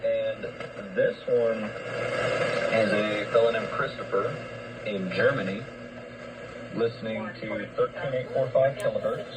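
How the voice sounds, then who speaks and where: A man's voice: lowish voice, measured pace. A man co-hosting a radio show, chatting informally and a little hesitantly. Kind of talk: casual radio chat. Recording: noisy shortwave radio broadcast.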